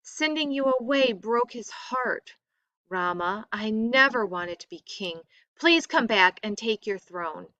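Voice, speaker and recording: A woman's voice: a little high, lively intonation, takes it slowly, sharp tone, dry recording, dull audio, heavily acted. A woman voicing a humble, loyal young prince in a storybook, grieving and pleading earnestly.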